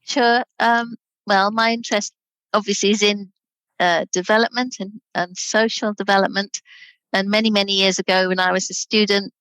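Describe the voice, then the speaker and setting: A woman in her sixties, originally from Scotland, chatting relaxed as a guest over a video call and laughing easily. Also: medium pitch, normal speed, no echo, low-quality recording. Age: 60s. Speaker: guest, charity director. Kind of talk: casual interview chat.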